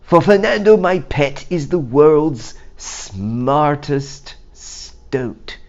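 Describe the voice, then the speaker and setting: A man's voice: on the high side, extremely slow, a little mellow, lo-fi sound, pitched above the performer's own voice. A man voicing a lonely, boastful goat in a storybook with a showy, bragging manner. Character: boastful lonely male goat on a tower throne.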